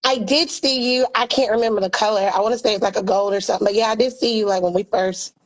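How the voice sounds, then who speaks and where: A hoarse woman co-hosting a casual video-call chat, sassy and animated. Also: medium pitch, fast. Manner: sassy, animated, calls people girl and honey. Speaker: co-host.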